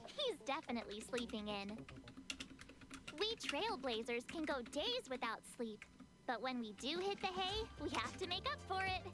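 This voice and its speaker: Perky, high-voiced young adventurer. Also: female voice, big pitch swings, moderate pace, music in the background. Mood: playful teasing. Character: perky, teasing, energetic young woman adventurer. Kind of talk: animated game voice acting.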